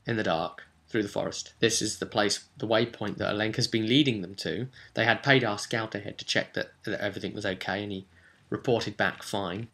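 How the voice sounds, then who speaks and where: A man's voice: on the low side, sharp tone. A man giving a casual, unscripted game master's recap, telling it in a rambling, enthusiastic way and often correcting himself.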